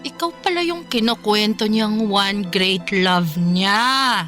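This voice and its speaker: A woman's voice: medium pitch, lively intonation, takes it slowly, fairly bright tone. A woman acting a hurt, bitter sister in a Filipino radio drama, with emotional, accusing delivery. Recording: music behind the voice.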